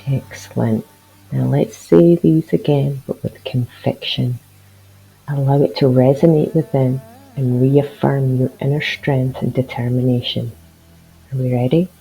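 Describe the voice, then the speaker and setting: A man leading a guided meditation in a soothing, gentle and encouraging manner. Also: mid-range voice, fairly even intonation, a little slow, creaky, husky, poor audio. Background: music behind the voice.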